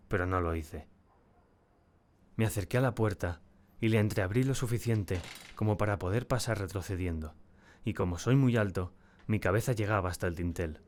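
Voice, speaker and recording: A man's voice: fairly low pitch, natural voice. A man playing a terrified, obsessed man who tells his story as an intense, dramatic first-person audiobook. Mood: tense, secretive. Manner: intense, swinging from hushed dread to frantic cries. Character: tall, terrified, obsessed man confessing a desperate act.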